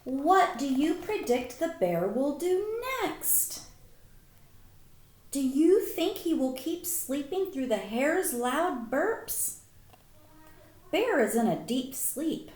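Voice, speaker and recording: A woman with a fairly high voice reads a children's story aloud like a teacher. Her delivery is slow and deliberate with very lively swings in pitch. The room adds a clear echo. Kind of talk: children's picture-book read-aloud with explanations. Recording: roomy echo.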